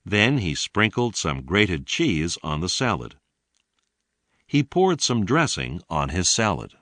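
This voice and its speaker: Deep, unhurried man narrating a lesson. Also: big pitch swings, fairly bright tone, no echo. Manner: deliberate, clearly enunciated teaching delivery. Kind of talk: scripted read-along narration.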